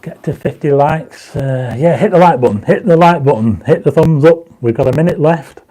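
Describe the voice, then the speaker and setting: Casting: man, croaky dry-mouthed livestream host, mellow tone, chats with viewers. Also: fairly high pitch, moderate pace, low-quality recording. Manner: reads viewer comments aloud, urges likes; enthusiastic when explaining stars. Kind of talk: casual livestream chat. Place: home astronomy studio.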